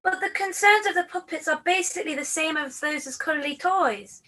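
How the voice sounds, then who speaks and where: A woman plays a plain-spoken cuddly toy who challenges others in a puppet comedy. The voice is extremely high, with a sharp, bright edge. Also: normal speed. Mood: challenging. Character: outspoken cuddly toy standing up for its kind. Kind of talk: scripted puppet comedy dialogue.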